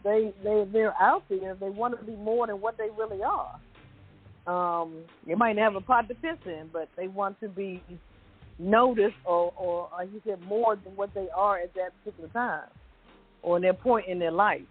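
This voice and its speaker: Woman, raspy husky voice, narrow muffled sound, talk show panelist, casual, loosely phrased. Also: mid-range voice, normal speed. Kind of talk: casual opinion chat. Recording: muffled, band-limited audio.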